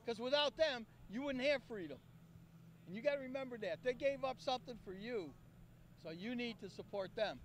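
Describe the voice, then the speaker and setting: A chatty man hosts a live stream outdoors on a handheld mic, his voice worn down by a cold. He sits very high in pitch. Faint event noise runs behind him. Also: lively intonation, normal speed. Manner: chatty and upbeat, coaxing guests. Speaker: host. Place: outdoor park event beside a van.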